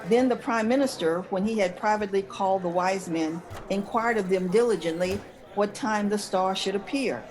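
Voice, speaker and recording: A woman's voice: mid-range voice, moderate pace, few pauses, a little mellow, rough recording. A woman speaking as a panelist in a casual discussion, sometimes reading aloud. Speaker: panelist on a holiday discussion show.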